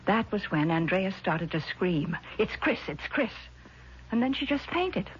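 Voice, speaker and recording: An actress playing a sensible, worried, skeptical sister in an earnest 1970s radio drama style. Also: female voice, mid-range voice, big pitch swings, a little slow, slightly rough, heavily acted. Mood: explaining. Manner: earnest, reasoning. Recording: muffled vintage radio, faint noise.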